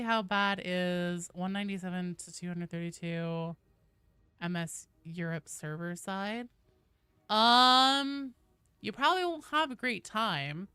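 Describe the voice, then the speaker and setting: A woman's voice: medium pitch, bright, forceful tone, clean tone, dry recording. A woman hosting a game stream, chatting casually and reading out viewer comments in informal, slangy language.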